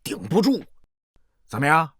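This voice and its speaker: Sneering rich merchant, wide-swinging delivery. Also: male voice, on the high side, big pitch swings, normal speed, fairly bright tone, slightly rough, dry recording, clean studio sound, heavily acted. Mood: smug, taunting. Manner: sneering, pompous, theatrical. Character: arrogant, gloating rich grain merchant, cruel to the poor. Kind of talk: costume drama acting.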